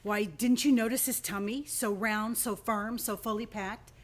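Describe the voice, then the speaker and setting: A woman's voice: mid-range voice, steady tone, moderate pace, close to an everyday voice. A woman playing an effusive, admiring department store publicity worker in an old-time radio play. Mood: gushing. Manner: effusive, chatty.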